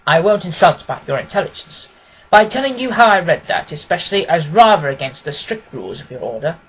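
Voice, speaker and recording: A woman voicing a confident, quick-witted gentleman detective from a storybook, precise and amused. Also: on the low side, big pitch swings, slightly rough, poor audio. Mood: assured. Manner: precise, expository, assured.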